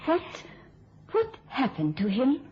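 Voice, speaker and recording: An actress playing an earnest, uneasy young nurse in an old-time radio drama, with a hesitant, conscientious way of talking. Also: female voice, sits high, wildly swooping pitch, slow pace, slightly rough, highs cut off, exaggerated voice. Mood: concerned.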